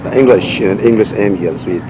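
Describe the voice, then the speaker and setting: A middle-aged man whose first language is Nepali, answering interview questions about his writing in a measured, reflective way with many "you know" fillers, seated outdoors in a garden. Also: fairly low pitch. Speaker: poet and writer, interview guest. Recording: outdoor recording with noticeable background noise.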